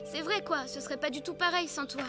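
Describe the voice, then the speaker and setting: A woman voicing a proud, sharp-tongued young prehistoric girl in a French-dubbed children's cartoon. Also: high-pitched, fairly quick, natural voice. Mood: forgiving. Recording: loud music behind the voice.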